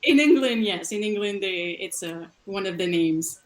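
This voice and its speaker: A woman's voice: medium pitch, animated, normal speed. A woman from Montreal with a French Canadian accent, chatting giggly and friendly as a fan on a video call. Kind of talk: casual chat.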